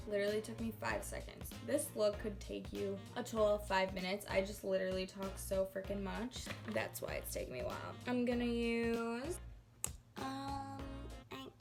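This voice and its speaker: A woman talking casually through a makeup tutorial at home, chatty and rambling and laughing at herself. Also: fairly high pitch. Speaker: beauty vlogger.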